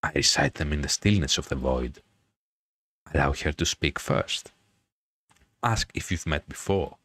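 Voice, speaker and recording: A man hosting a gaming video, reading a visual novel aloud in a dramatic, drawn-out storytelling way with casual commentary. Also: extremely low pitch, fairly bright tone, breathy edge, no room sound.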